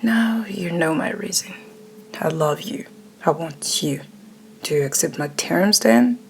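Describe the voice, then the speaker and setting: A woman voicing a dominant, flirty, possessive male CEO in a breathy, often whispered, slow and smug manner for an ASMR romance roleplay. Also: fairly low pitch, a little hiss or hum. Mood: possessive, tender.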